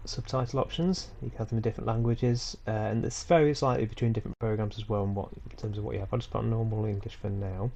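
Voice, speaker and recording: A man with a head cold walking viewers through a screen-recorded tutorial at home in a relaxed, rambling way, with frequent ums and small self-corrections. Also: fairly low pitch, fast talker, creaky, few pauses. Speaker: tutorial host. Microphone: computer mic for a screen recording.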